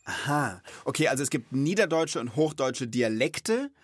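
Animated, bouncy male show host. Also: mid-range voice, normal speed, slight background noise. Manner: animated, joking, asks eager questions. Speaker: host of a children's explainer show. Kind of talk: lively, playful explaining to a child. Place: Munich.

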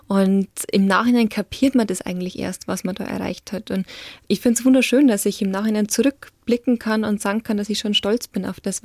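A young woman in her twenties with a Bavarian accent, chatting reflectively as an interview guest, often trailing off softly and laughing easily. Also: medium pitch, dry recording. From Lower Bavaria, Germany. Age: 20s. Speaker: guest, Paralympic athlete. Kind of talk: casual interview talk.

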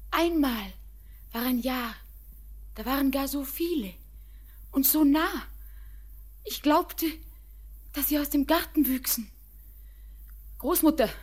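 An actress playing a young bride in a classic radio play, speaking soft verse. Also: female voice, sits high. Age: young adult. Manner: soft, lingering verse speech. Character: young bride, dreamy and grieving.

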